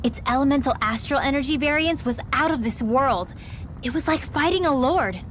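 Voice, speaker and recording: A woman's voice: high voice, lively intonation, normal speed, a bit bright, a little hiss or hum. A woman voicing a curious, studious young female mage in a video game, earnest and bookish in her talk. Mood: amazed. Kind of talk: video game cutscene acting.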